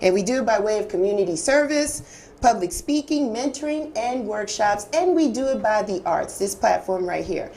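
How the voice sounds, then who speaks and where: A woman's voice: medium pitch, lively intonation, normal speed, mellow, a little hiss or hum. A woman from Detroit hosting a casual interview talk show, upbeat and enthusiastic. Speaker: host.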